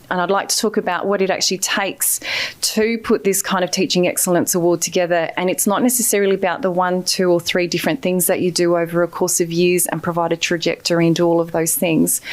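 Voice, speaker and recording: Earnest Australian woman at a podium. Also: lowish voice. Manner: earnest, grateful, many hesitant fillers. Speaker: award recipient giving acceptance speech. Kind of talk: acceptance speech. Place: ceremony hall.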